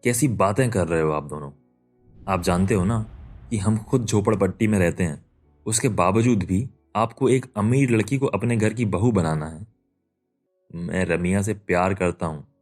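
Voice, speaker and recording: A devoted young cartoon hero acted by a man with a low, earnest voice. He speaks firmly, with faint music underneath. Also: a little mellow. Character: firm, devoted young man defending his sweetheart. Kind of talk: Hindi cartoon story dialogue.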